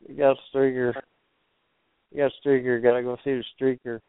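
Flat-voiced man on a phone line. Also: mid-range voice, a little fast, slight background noise. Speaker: phone caller to a radio show. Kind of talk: casual phone chat.

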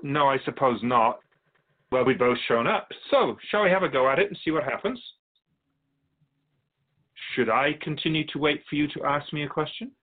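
A man playing an otherworldly, patient keeper of souls in a radio drama, speaking in measured, formal phrases with gentle questions. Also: fairly high pitch, expressive. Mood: mildly amused. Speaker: guest on a radio show. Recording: thin phone-like band-limited audio.

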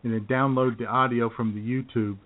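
A man co-hosting a radio comedy show in casual banter, jokey and a little halting, often repeating his phrases. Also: mid-range voice, flat delivery. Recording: muffled phone-like internet radio audio.

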